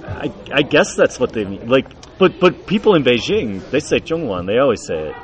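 A man in casual conversation outdoors, his pitch moving a lot as he talks. He sounds curious and likes to joke. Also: a little fast, a faint crowd. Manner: curious, joking, animated. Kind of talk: casual chat.

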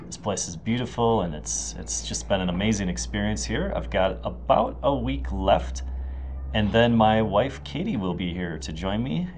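A man hosting a travel podcast, talking in a relaxed, chatty way and thinking aloud with fillers while interviewing a guest. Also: lowish voice, normal speed, a little hiss or hum. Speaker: podcast host. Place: hostel in rural China.

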